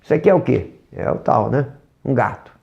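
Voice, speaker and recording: Brazilian Portuguese accent, English teacher, online lesson, animated delivery, demonstrates pronunciation, lo-fi audio. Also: mid-range voice, a little fast, vocal fry. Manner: animated, asks rhetorical questions, demonstrates sounds.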